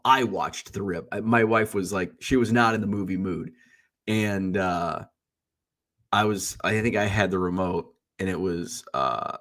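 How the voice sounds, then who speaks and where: A stuffy, congested man hosts a casual live stream, rambling from one tangent to the next with an easy joking manner. His voice sits a bit low for a man. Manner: chatty and joking, wanders off on tangents. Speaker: host of a daily live stream. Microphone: streaming setup.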